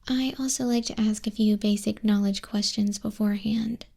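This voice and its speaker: A woman playing an exacting female griffin flying instructor in a hushed, breathy, measured ASMR roleplay, close to a binaural microphone. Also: medium pitch, steady tone. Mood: exacting, composed. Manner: measured, unhurried, strict examiner tone. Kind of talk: soft-spoken, whispery ASMR roleplay.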